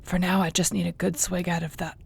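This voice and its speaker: Female actor as battered orc girlfriend for fantasy audio roleplay: gravelly, husky, wry, weary, intimate and fond. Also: on the low side, flat delivery, brisk, exaggerated voice. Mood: weary, stubborn. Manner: sighing, conversational, wearily understated.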